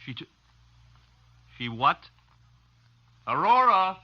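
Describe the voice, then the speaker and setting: A man's voice: a little high, sing-song, slow pace, exaggerated voice. An actor playing a suspicious, blustering middle-aged businessman and father with sarcastic incredulity, in 1950s radio drama style. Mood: exasperated. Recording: muffled vintage 1950s radio recording.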